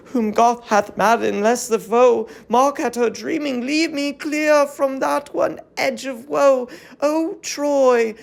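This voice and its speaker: A woman playing an aged, fallen queen in a verse tragedy, mournful, stern and weary, with solemn drawn-out lines. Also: sits high, vocal fry, darkish tone, exaggerated voice. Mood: weary dread. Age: older. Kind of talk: classical verse tragedy, declaimed.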